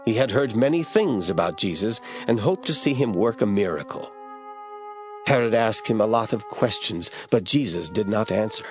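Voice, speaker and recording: A man narrating scripture in a radio drama, reading in a steady, measured way. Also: on the low side.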